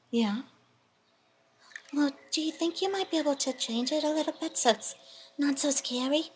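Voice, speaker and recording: A woman voicing a cheerful, gentle little lamb puppet who giggles and coaxes in a sing-song way. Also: sits high, slight background noise.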